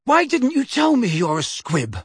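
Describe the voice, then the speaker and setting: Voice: mid-range voice, wildly swooping pitch, normal speed, slightly rough, no room sound, clean studio sound, pitched above the performer's own voice, full character voice. A narrator voicing a teenage boy who pants with effort and sounds exasperated. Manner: panting, exasperated. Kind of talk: audiobook character voice.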